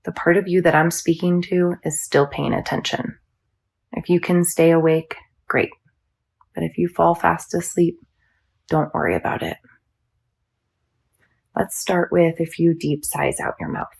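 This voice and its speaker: Husky, creaky woman guiding meditation. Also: lowish voice, fairly even intonation, darkish tone. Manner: soothing, measured, instructional. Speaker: yoga nidra teacher. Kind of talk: guided meditation.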